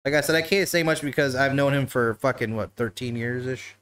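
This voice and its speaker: A man's voice: medium pitch, a little fast, a bit bright, clean tone. A man in his thirties hosting a casual livestream talk show from a living room couch, laughing often and bantering loosely with swearing.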